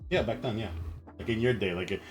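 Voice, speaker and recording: A man's voice: fairly low pitch, quick pace, soft background music. A young man hosting a livestream interview, laid-back and slangy with lots of fillers. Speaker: streamer host.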